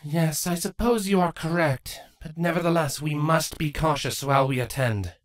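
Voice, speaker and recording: A woman voicing a regal, protective changeling queen mother in a measured, formal and grave manner for a fantasy audiobook. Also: sits low, lightly acted. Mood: firm. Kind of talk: acted fan fiction audiobook dialogue.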